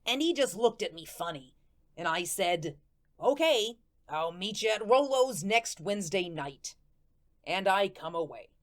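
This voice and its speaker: A woman's voice: medium pitch, lively intonation, unhurried, lightly acted. A woman playing a wry, good-natured ghost who narrates a radio play in slangy, streetwise, colloquial style. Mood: wry storytelling. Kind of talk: first-person radio play storytelling.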